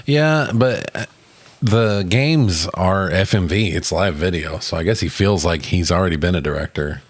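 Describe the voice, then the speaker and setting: Deep-voiced man, dry close sound. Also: measured pace, no room sound. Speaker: podcast co-host reviewing movies. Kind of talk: casual film review chat.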